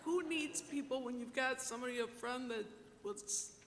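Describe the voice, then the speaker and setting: A woman giving an earnest, reflective award acceptance speech at a banquet, in what she calls her low voice. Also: moderate pace. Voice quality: self-described low voice. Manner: reflective, earnest, with repeated words for emphasis. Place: large banquet hall, in front of a dinner audience. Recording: reverberant hall, distant mic, faint rumble.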